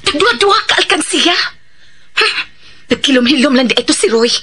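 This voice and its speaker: Shrill, giddy Visayan gossip woman. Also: a little fast. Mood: gossipy, excited. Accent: Filipino Visayan. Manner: animated, giggly, exclamatory. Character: gossipy, greedy, giddy woman scheming over money. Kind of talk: Bisaya radio drama acting.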